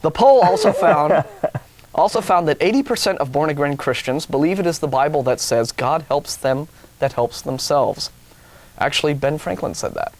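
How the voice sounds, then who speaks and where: A man's voice: a little high, animated, moderate pace, a little mellow, slight background noise. An American man co-hosting a talk show, reading out news items with casual, wry and mocking asides. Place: TV studio.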